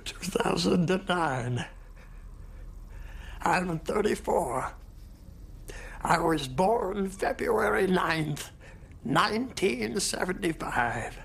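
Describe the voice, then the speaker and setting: A man's voice: high-pitched, animated, gravelly, fairly bright tone, a little hiss or hum, full character voice. A dazed, forgetful man. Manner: halting, bewildered, slow. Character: confused man, thirty-four, lost in memory. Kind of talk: film drama acting.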